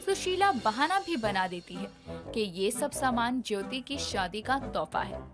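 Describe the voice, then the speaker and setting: A woman narrates a scripted bedtime tale. Her voice is fairly high and very animated in pitch, over clearly audible background music. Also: unhurried.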